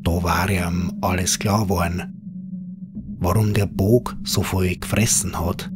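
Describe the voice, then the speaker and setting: A man with a Bavarian accent narrates a scripted horror story in dialect, in an unhurried, folksy manner. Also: low-pitched, steady tone, over loud music.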